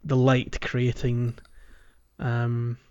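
A man's voice: mid-range voice, completely flat delivery, very slow, a little mellow, clean tone, lo-fi sound. A man chatting casually as a gaming podcast host, walking through things in a hesitant, explanatory way.

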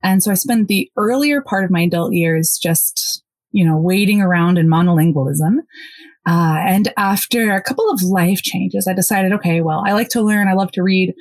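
A woman from the United States, a podcast guest, telling her story quickly and excitedly. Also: fairly low pitch, no room sound. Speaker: interview guest. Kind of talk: casual podcast interview.

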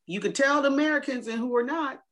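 A woman in her fifties co-hosting a podcast, chatting casually and telling stories in a slangy, animated way, acting out what people said. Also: high-pitched, quick pace. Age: 50s. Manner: animated, slangy, acts out quotes. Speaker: podcast co-host. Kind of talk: casual chat, storytelling.

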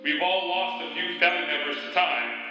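Male actor as upbeat radio ad pitchman. High voice, emphatic sales patter, heavy echo. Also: fairly even intonation, moderate pace, sharp tone. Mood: upbeat, salesy. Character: upbeat salesman hawking time machine parts. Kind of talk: spoof radio advertisement. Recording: very echoey, muffled, music behind.

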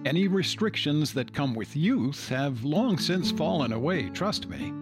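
A man's voice: a little high, lively intonation, unhurried. An older man hosting a podcast, reading scripted narration. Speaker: podcast host. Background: guitar music.

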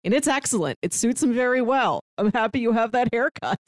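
A woman's voice: fairly high pitch, animated, brisk, dry recording. A woman co-hosting a podcast, talking casually about film in a chatty, detailed way with playful asides. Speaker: podcast co-host.

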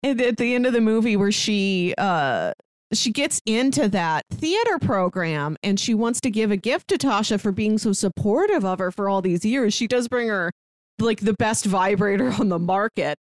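A woman's voice: medium pitch, animated, moderate pace, no echo. A woman co-hosting a podcast, talking through a film in a casual, conversational way. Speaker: podcast co-host. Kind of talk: casual film discussion chat.

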